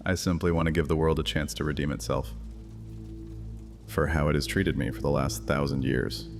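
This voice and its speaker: An actor playing a bitter, mocking, thousand-year-old vampire exile with a theatrical, sardonic delivery in an audio drama. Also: male voice, extremely low pitch, flat delivery, normal speed, soft background music. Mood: bitter, aggrieved. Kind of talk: dramatic audio fiction acting.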